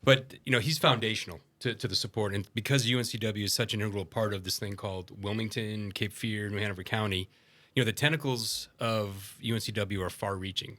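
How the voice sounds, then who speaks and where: A man's voice: lowish voice, pushed and bright. A man talking on a podcast as a guest, confident and emphatic with a dry humor. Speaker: retired army general, guest. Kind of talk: casual podcast conversation.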